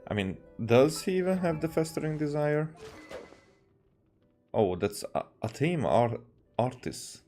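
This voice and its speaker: Animated man commenting on a game. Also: on the high side. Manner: hesitant, halting, stammering. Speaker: game streamer. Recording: faint game music behind.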